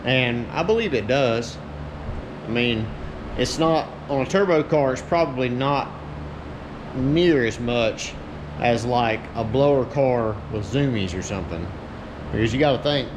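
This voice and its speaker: A man giving a casual, plain-spoken, folksy explanation of his hands-on car work, as host of his own video. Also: medium pitch. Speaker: host, race car builder. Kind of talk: casual explanatory shop talk. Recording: clear steady background noise, ambient hum.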